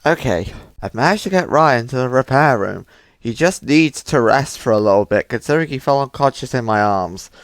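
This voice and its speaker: A woman voicing a calm, protective animatronic caretaker in an amateur comic dub, speaking in a measured, soothing way. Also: extremely low pitch, no room sound, voice pushed down. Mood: grave.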